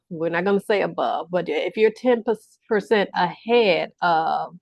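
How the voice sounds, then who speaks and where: A middle-aged woman coach talks viewers through a workshop in a somewhat low voice. She is encouraging and repeats her points for emphasis. The recording is dry and a little dull, with no room sound. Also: creaky, no room sound. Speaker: business coach. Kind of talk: workshop-style video talk to viewers.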